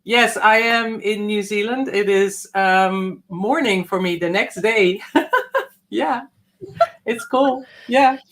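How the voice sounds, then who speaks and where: A woman from the Netherlands, a guest on a live video call, speaking in a warm, reflective way that sometimes slows and softens. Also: medium pitch. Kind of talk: casual online interview.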